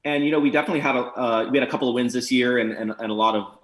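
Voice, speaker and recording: Relaxed man in an echoey room. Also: medium pitch, very fast. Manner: relaxed, rambling, laughs easily. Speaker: team manager and spotter. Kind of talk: interview on a video call.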